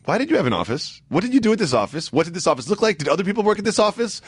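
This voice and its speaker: Animated, emphatic American man co-hosting. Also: high-pitched, racing, slightly rough, dry recording, studio quality. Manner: emphatic, repeats himself, peppers co-host with questions. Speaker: sports talk show co-host. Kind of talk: casual sports radio banter.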